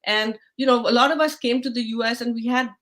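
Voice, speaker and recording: A woman's voice: a little high, fast talker, fairly bright tone. A middle-aged woman from India with an Indian accent, hosting a live online interview in a chatty way, full of fillers and restarts. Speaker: host.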